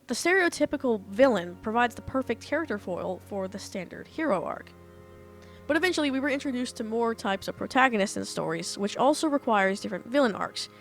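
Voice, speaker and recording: A young woman, an author, explaining storytelling step by step in a casual, earnest way, her voice slightly off after being ill. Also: a little high. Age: young adult. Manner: earnest, talks through points step by step. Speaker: author and writing teacher.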